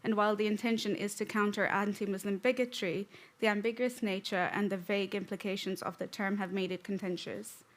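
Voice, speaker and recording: A young woman from Tanzania giving a conference talk on stage, relaxed and joking at times. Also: medium pitch, fairly quick. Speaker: conference speaker. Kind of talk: prepared talk with light humor.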